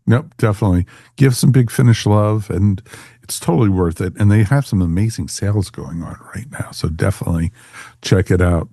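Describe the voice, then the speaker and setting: A man's voice: fairly low pitch, fairly quick, warm tone, breathy edge, no room sound, studio quality. A man hosting a podcast in a casual, chatty, informal way. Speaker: podcast host.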